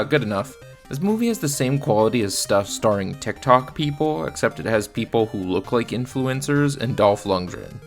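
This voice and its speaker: A man's voice: mid-range voice, gravelly. A man giving scripted film review commentary in a blunt, sardonic manner. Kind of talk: scripted video essay commentary.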